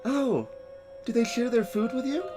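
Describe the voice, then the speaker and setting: A woman's voice: on the high side, moderate pace, over music, close to an everyday voice. A woman voicing an innocent, chatty child in a video game, asking things in an earnest, inquisitive way. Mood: eager, curious. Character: innocent, chatty child who dreams of pancakes. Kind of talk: voiced video game dialogue.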